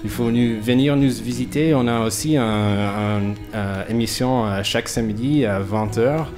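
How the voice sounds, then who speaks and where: Halting male radio host, music behind. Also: medium pitch. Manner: halting, searching for words. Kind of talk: casual spoken sign-off.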